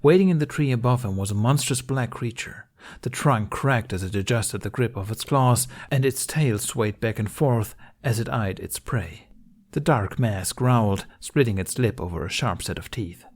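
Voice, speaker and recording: A man reading scripted fiction aloud as an audiobook narrator, in a measured storytelling manner. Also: mid-range voice, a little mellow.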